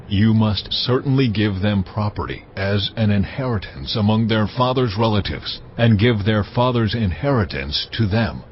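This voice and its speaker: An actor playing God as a solemn lawgiver, measured and commanding, in a dramatised scripture reading. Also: male voice, lowish voice, a little hiss or hum, lightly acted. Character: God, solemn male lawgiver speaking to Moses. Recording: slightly muffled, music underneath. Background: background music.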